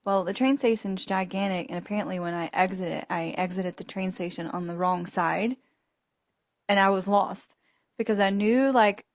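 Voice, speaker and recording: A woman's voice: medium pitch, moderate pace, no room sound. A woman hosting a podcast, telling a travel mishap with frequent laughter and acted-out dialogue. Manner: animated, laughing storyteller acting out voices in her story. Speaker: podcast host. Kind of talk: casual travel storytelling.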